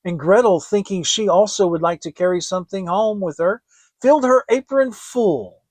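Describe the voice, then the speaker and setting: Male storyteller for dramatic fairy tales: high voice, unhurried, expressive, close dry sound. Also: no echo. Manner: theatrical, expressive. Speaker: storyteller, audiobook reader. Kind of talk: dramatic scripted fairy tale narration.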